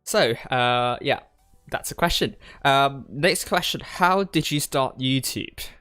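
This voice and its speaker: A young man from Hong Kong talks casually on a livestream in a medium-pitched voice. He is hesitant and rambling, stumbling back over his words as he goes. The sound is dry, with soft music underneath. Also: slow pace, clear, resonant tone, no echo. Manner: hesitant, rambling, with stuttered word repeats. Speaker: gaming streamer and tournament commentator. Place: indoors at a desk in a gaming chair.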